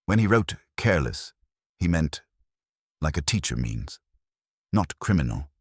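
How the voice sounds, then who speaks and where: Earnest widow, played by a man, low-pitched, lively intonation, composed, measured, mystery audiobook. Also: husky, dry recording, studio quality, full character voice. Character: earnest, composed widow defending her late husband.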